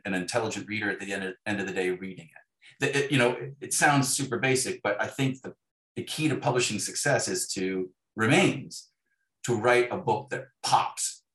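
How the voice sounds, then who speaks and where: A man's voice: on the low side, fairly bright tone, echoey room, poor audio. A middle-aged man speaking as a novelist in an interview, reflective and discursive, with wry self-deprecation.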